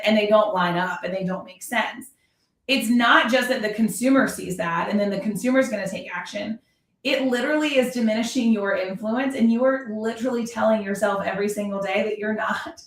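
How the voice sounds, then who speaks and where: A woman's voice: medium pitch, fairly bright tone, distant mic. A woman talking in a conversational podcast style as host and coach, explaining emphatically with examples and repeated phrases. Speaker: podcast host and real estate productivity coach. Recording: roomy echo, away from the mic.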